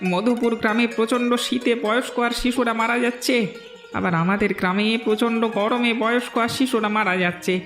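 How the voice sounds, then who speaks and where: An indignant village man played by a male actor pleads in an extremely high voice. The urgent cartoon-drama delivery sits in a strong echo with soft music. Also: steady tone, normal speed. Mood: pleading, indignant. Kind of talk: dramatic fairy-tale cartoon voice acting. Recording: echoey studio dub with background music.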